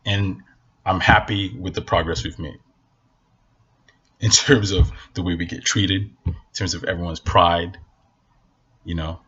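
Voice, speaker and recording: A man's voice: low voice, steady tone, a little slow, long pauses, a bit bright, slightly rough, dull audio. A man from Northern Nigeria, a native Hausa speaker, giving a blunt, impassioned opinion rant to camera in a casual vlog. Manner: blunt, impassioned, repeats for emphasis. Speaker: vlogger.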